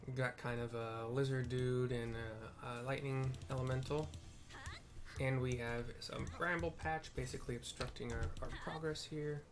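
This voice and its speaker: Male gamer, medium-pitched voice, easygoing and informal, describing the action as he plays. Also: fairly even intonation, normal speed, over music. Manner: laid-back, descriptive, informal. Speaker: gamer narrating a playthrough. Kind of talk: casual gameplay commentary. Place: indoors at a computer.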